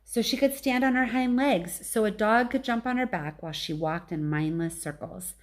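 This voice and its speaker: A weary older female elephant, voiced by a woman reading a storybook. She speaks softly and gravely, with a gentle, motherly care. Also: mid-range voice, moderate pace, close to an everyday voice. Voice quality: tired, hushed.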